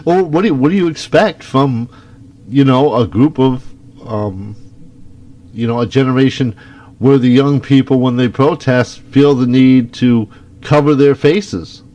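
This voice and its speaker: Middle-aged man, mid-pitched, opinionated co-host. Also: unhurried, mellow, dull audio. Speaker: talk show co-host. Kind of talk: casual opinionated political commentary.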